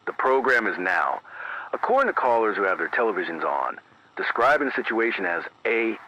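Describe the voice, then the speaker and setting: A man playing a careful, grave local radio announcer who reads emergency bulletins in a formal, measured way, repeating key phrases, through an AM radio filter. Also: on the low side, sing-song, bright, forceful tone, exaggerated voice. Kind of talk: scripted analog horror news bulletin. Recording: dull AM radio sound, faint noise.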